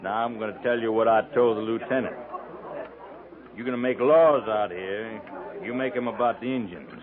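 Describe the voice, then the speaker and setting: Actor as a brash, needling buffalo hunter, tinny radio. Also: male voice, lowish voice, normal speed, crowd noise, natural voice. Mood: defiant needling. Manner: folksy western phrasing, needling, mocking. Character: brash, sardonic, cocksure frontier buffalo hunter. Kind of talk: 1950s radio western acting. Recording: tinny, band-limited 1950s radio recording.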